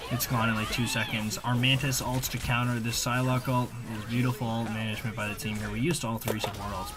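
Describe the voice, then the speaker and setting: A man giving casual, rambling voice-over commentary on his own video game play, using informal gamer slang. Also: medium pitch.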